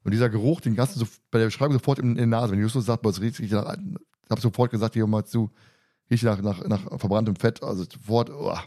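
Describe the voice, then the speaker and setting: Very fast-talking man hosting a podcast. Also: lowish voice, steady tone, mellow. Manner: colloquial, joking, teasing. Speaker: podcast host. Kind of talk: casual podcast discussion and plot recap.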